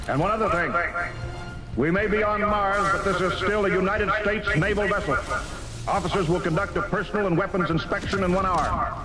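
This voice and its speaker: An actor playing a stern naval spaceship captain in an old-time radio drama, giving clipped, authoritative military orders. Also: male voice, fairly high pitch, lightly acted. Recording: vintage radio recording, loud music behind.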